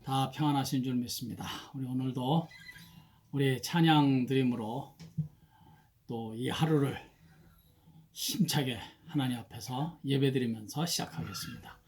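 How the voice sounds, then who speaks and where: Hoarse, slow-speaking male pastor. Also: medium pitch, steady tone. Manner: slow, soft-spoken, halting with fillers. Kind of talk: morning worship with hymn singing and prayer. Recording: faint guitar music behind.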